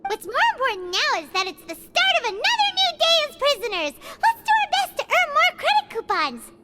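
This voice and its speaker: A woman voicing a tiny, excitable childlike fairy companion in an animated video game, squeaky, chirpy and talkative. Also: brisk, full character voice. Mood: excited. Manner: chirpy, refers to self in third person.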